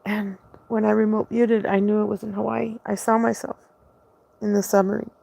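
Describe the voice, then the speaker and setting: A woman's voice: medium pitch, little pitch movement, moderate pace, creaky, warm tone. A woman telling her own story in a casual, rambling monologue, hesitating often, restarting sentences and spelling out words letter by letter.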